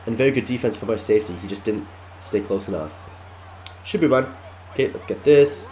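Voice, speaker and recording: A congested man narrating his own video game match live, excitable and shouting at close plays. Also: fairly low pitch, brisk. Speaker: gaming YouTuber. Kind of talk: live gameplay commentary.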